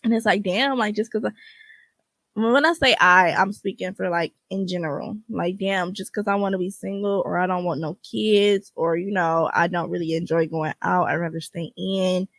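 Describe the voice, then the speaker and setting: A woman's voice: lowish voice, fairly even intonation, normal speed, a bit bright, no echo. A woman in her twenties chatting casually and frankly as a solo podcast host, slangy and free with swearing. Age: 20s (twenty-three).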